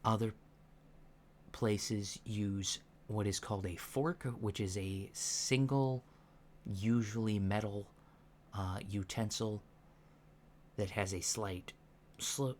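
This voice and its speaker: A soft-spoken man giving gentle, measured instructions in an ASMR roleplay, pausing often mid-sentence. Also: fairly low pitch, fairly even intonation. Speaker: ASMR roleplay performer playing a training instructor. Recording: lo-fi with faint background noise.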